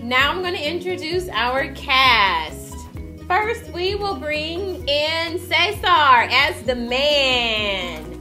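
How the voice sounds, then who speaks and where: High-voiced woman narrator, slow and echoey. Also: expressive, sharp tone. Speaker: narrator and presenter. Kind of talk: read-aloud stage narration. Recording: echoey room with music over it.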